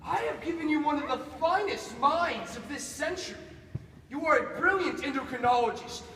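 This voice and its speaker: An actor playing a grandiose, manic mad scientist in a theatrical horror-parody style, with melodramatic delivery. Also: male voice, very high voice, lively intonation, normal speed, full character voice. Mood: furious scolding. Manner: melodramatic, swinging from hushed coaxing to shouting. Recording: echoey hall, distant, loud background noise.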